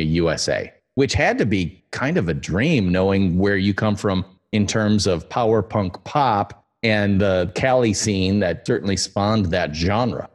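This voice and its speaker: Lively low-voiced podcast host. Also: male voice. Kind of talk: podcast interview and ad read.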